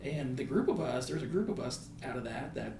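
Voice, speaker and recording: A man's voice: medium pitch, animated, fairly quick, vocal fry. An American man in his thirties talking thoughtfully in a podcast interview as a school principal, often rephrasing as he goes. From United States. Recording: echoey room with faint background noise.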